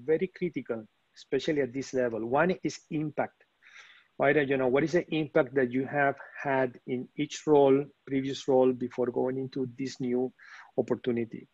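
A man's voice: medium pitch, darkish tone, no room sound. Hesitant man from Venezuela on a call. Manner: hesitant, many fillers and restarts. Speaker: panelist, operations executive. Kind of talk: webinar panel answer. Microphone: video call. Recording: muffled video call audio.

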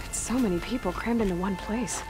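An actress playing a young outcast huntress in a video game, natural and understated with a wry streak. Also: female voice, medium pitch, fairly quick, close to an everyday voice, low-quality recording. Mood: wistful, musing. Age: young adult. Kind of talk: video game character acting. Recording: music behind.

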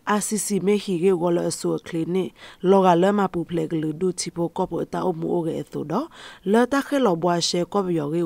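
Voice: mid-range voice, gravelly, a little mellow, dry recording. A radio presenter reading scripted news.

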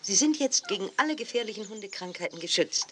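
A woman's voice: mid-range voice, animated, quick pace, fairly bright tone, lightly acted. An actress playing a kindly, instructive woman dog breeder in a 1980s children's audio play, explaining things expressively.

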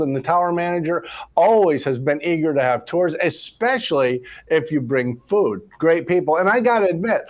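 An older man moderating a panel talk show, speaking conversationally as he takes viewer questions on a video call. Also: a little high. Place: indoor office, on a video call.

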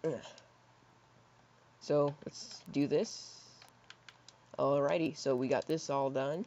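A hoarse young man in his teens rambling casually while playing a building game, with lots of fillers and soft mutters. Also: on the high side, fairly even intonation, slow pace. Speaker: gaming YouTuber. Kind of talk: casual gameplay commentary. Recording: slightly dull lo-fi mic.

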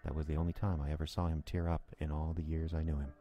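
An extremely low-pitched man narrates an audio drama as a sober, uneasy witness. His telling is measured and literary. Music is clearly heard beneath the voice. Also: level tone, dark tone, highs cut off. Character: sober male narrator, uneasy listener to Ovid's tale.

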